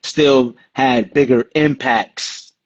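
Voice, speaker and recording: A man co-hosting a podcast, talking sports in a measured, laid-back way, often saying "I mean" and "you know.". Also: mid-range voice, steady tone, lo-fi sound. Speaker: podcast co-host. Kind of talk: casual sports talk.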